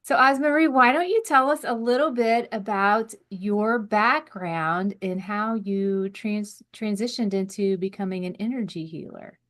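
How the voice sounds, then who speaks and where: A woman's voice: mid-range voice, measured pace, hardly any pauses, fairly bright tone. Woman hosting a conversational interview show. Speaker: podcast host, interviewer.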